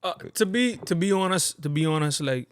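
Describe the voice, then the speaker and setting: Bahamian young man, guest on a chat podcast, lively storyteller, slightly high voice, dry close sound. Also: fairly quick, clean tone, no echo. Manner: animated storytelling, repeats phrases. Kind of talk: casual chat.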